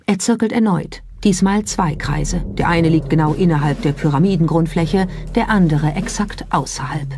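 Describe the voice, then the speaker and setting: A narrator reading a scripted documentary in a measured storytelling style. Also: mid-range voice, background noise.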